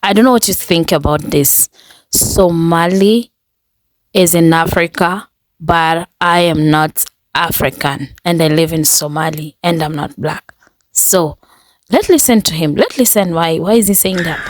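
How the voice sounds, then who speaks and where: Chatty woman reacting, low voice, dry sound. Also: unhurried, no echo. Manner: chatty, laughs often, thinks aloud. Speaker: reaction video host. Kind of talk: casual reaction commentary.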